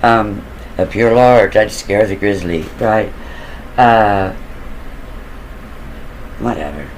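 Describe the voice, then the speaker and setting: A man with a deep voice telling a long story in a loose, casual, rambling way, with fillers and the odd curse. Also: takes it slowly, a little hiss or hum, low-quality recording. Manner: loose and digressive, with fillers and occasional swearing.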